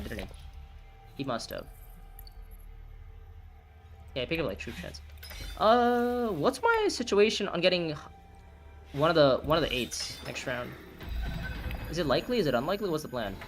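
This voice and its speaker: A man in his early twenties streaming a board game, thinking his moves aloud, reacting loudly and swearing at bad turns. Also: medium pitch. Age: 20s. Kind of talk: live gameplay commentary, thinking aloud.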